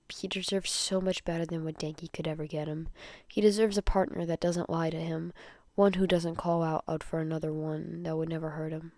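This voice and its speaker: A woman reads a story aloud as an amateur narrator, expressive but prone to small stumbles. Her voice sits a little low for a woman, and the sound is dry, with very little room. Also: dry recording. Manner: stumbles over words and rereads them. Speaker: podfic narrator. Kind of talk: expressive amateur fan fiction reading.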